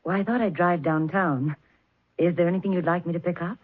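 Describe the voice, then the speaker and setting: An actress playing a gentle, attentive wife who looks after her husband. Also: fairly low pitch, fairly even intonation, fairly quick, husky. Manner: gentle and solicitous. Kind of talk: 1970s radio drama acting. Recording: tinny old radio broadcast.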